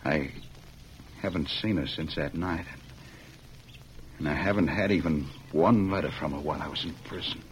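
An actor playing a wronged young cowboy ex-convict in a vintage radio western, speaking plainly and earnestly. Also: male voice, extremely low pitch, normal speed, long pauses. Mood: bitter, earnest. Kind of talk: 1940s-50s radio western acting. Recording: muffled, hissy old radio recording.

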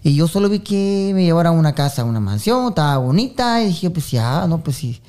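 Mellow-voiced man. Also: a little high, big pitch swings, brisk. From Guatemala. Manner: easygoing storyteller, repeats words. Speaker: entertainer, podcast guest. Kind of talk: casual interview.

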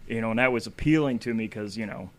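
A man's voice: fairly low pitch, fast talker. A man in his forties hosting a podcast in loose, casual interview chat, swearing freely. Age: 40s. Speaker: podcast host.

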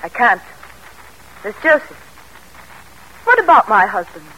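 A woman's voice: fairly high pitch, very animated, measured pace, pushed and bright, slightly rough, highs cut off, heavily acted. An actress in a classic radio drama playing a devoted, anxious young mother and daughter, earnest and genteel in manner. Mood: reluctant. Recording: noisy old radio drama recording.

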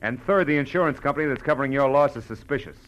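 An actor playing a tough, wry American private detective in 1940s radio style, clipped and wisecracking. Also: male voice, medium pitch, racing, lo-fi sound, natural voice. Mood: tough, pressing. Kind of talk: 1940s radio detective acting and first-person narration. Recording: old radio drama with music behind.

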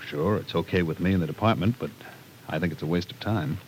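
An actor playing a tough, matter-of-fact New York police detective, blunt and businesslike, in an old-time radio drama. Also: male voice, low-pitched, fast, close to an everyday voice. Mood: blunt, grave. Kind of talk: old-time radio soap opera acting. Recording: noisy old radio recording.

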